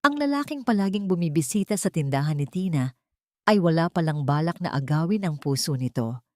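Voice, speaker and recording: A woman narrates a scripted dramatic story. Her voice sits low for a woman. The sound is dry, with hardly any room around it. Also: lively intonation, brisk, no room sound.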